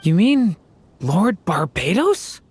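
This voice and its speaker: A man's voice: high voice, big pitch swings, takes it slowly, husky, heavily acted. Polite, youthful dragon boy. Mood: calm. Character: polite young male dragon in human form. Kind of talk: anime game voice acting.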